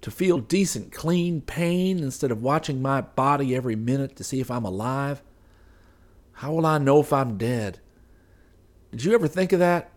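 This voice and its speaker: A man's voice: medium pitch, moderate pace, pitched above the performer's own voice, lightly acted. A man voicing a weary, sorrowful husband who pleads with obstinate sadness. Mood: bitter anguish. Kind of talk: audiobook character voice.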